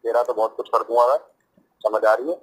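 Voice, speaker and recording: A man's voice: mid-range voice, fairly even intonation, a little fast. A young man with a North Indian accent, scolding and confrontational in a heated argument over a phone speaker. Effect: phone call on speaker. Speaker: prank call recipient.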